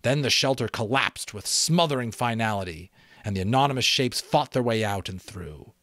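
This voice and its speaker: A man's voice: medium pitch, animated, moderate pace, fairly bright tone, no echo. A man narrating an audiobook in a dramatic, literary storytelling style.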